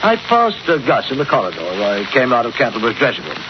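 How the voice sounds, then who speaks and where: An actor playing a haughty, irritable gentleman in a 1940s radio drama, sarcastic and emphatic. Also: mid-range voice, huge pitch swings, fast. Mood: defensive, irritated. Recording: muffled, noisy old 1940s radio recording.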